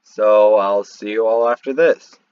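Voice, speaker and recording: A man giving casual, unscripted commentary as a video host, halting and rambling as he goes. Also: fairly low pitch, fairly even intonation, clean tone, dry recording. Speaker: video host reacting to game lore. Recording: slightly muffled, dry home microphone.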